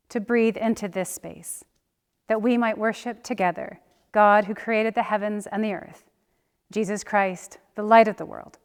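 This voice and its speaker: A woman reading a scripted church welcome and reflection in a measured, reverent way. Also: medium pitch, smooth, no room sound, studio quality. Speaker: worship leader.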